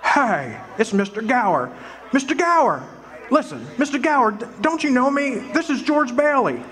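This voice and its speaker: A man's voice: very high-pitched, big pitch swings, moderate pace, a bit bright, exaggerated voice. A man playing a bewildered, exasperated family man, stammering and agitated in a live radio drama. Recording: live stage recording, faint room noise.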